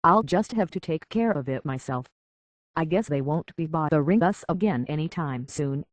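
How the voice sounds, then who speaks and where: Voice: mid-range voice, lively intonation, normal speed, dry recording, close to an everyday voice. An actor playing a plainspoken, guarded survivor from a harbor town in a video game, talking in a terse, folksy way. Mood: businesslike, resolute. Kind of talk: video game character acting.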